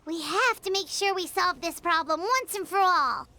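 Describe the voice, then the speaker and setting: A tiny, excitable fairy girl from a video game. The voice is extremely high and bright, swooping all over the place. It comes across as perky, chatty and childlike. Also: normal speed, exaggerated voice. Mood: determined, uneasy. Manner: perky, refers to self in third person. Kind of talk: video game character acting.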